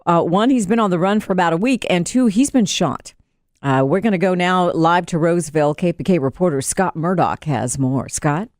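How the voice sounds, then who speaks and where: American female news anchor, low and dry. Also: moderate pace, darkish tone, dry recording. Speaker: radio news anchor and call-in host. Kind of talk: live news anchoring, handing over to reporter.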